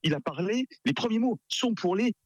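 A man giving live radio analysis as a geopolitics expert, emphatic but halting, repeating words as he searches for the next. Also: high voice, lively intonation, no echo.

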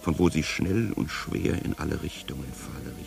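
A man playing a sardonic, world-weary narrator in a literary radio play, speaking deliberately and with dry irony. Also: rumbling low, creaky, exaggerated voice. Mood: poetic, solemn. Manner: deliberate, poetic, dryly ironic. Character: sardonic, world-weary first-person wanderer and guide.

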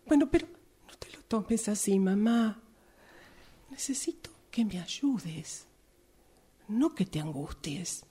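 A woman playing an anxious but determined pregnant schoolgirl in an improvised radio drama, with an Argentine accent and a hesitant, breathy delivery. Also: mid-range voice, very animated, mellow, heavily acted. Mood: determined, pleading. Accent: Argentine Spanish. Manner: hesitant, halting.

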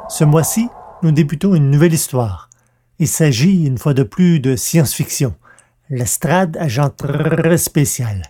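A man's voice: a little high, expressive, measured pace, warm tone. A man hosting a podcast, announcing in a scripted style. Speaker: podcast host.

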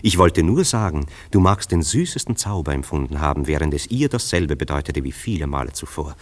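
An actor playing a worldly, sceptical friend in a German radio play, with a dry, ironic, eloquent and theatrical delivery. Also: male voice, very deep voice, animated, a little fast. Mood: firm, persuasive. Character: sceptical worldly male friend, gently mocking realist. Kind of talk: German radio play acting, literary dialogue.

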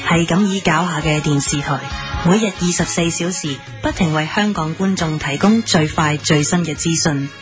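A woman's voice: low-pitched, moderate pace, soft background music. A woman reading scripted station announcements in a presenter's style.

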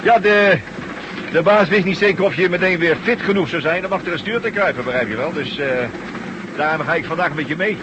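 Actor as hearty old trucker pal, faint hiss. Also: male voice, sits high, fairly quick, dull audio, natural voice. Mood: easygoing. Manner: hearty, familiar, teasing. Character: jovial, chummy male trucker, loyal old pal. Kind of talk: Dutch radio drama acting. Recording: faintly hissy old radio recording.

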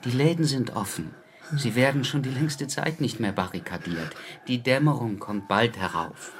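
An actor plays an attentive caretaker in a radio play, patient and soothing. He speaks calmly and politely, reassuring the old man in his care. His voice sits at an ordinary mid pitch. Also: normal speed, slight background noise, natural voice.

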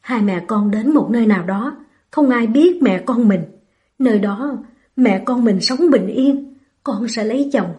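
A woman's voice: a little high, expressive, measured pace, warm tone. A woman voicing a poor, anguished old mother. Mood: pleading. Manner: pleading, tearful.

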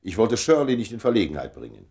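Deep voice, weary statesman in his fifties, dry wit, animated melody, authoritative, radio play. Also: fast talker. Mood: dryly emphatic. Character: busy, weary, aging statesman, dryly witty and dutiful. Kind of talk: German radio drama acting.

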